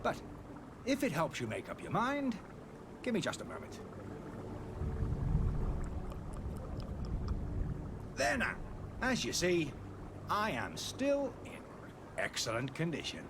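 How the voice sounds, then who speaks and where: A man playing a pompous, theatrical charlatan in a video game, selling potions with smooth, grandiose showman's patter. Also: fairly high pitch, huge pitch swings, a little slow, long pauses, background noise, full character voice. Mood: smooth, courteous.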